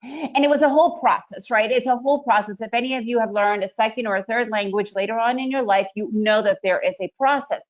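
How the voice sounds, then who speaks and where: A woman in her forties, originally from the Soviet Union and raised in the US, presents a day trading webinar in an energetic, chatty way, often checking in with "right?". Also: fairly high pitch, fast talker, muffled recording. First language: Russian.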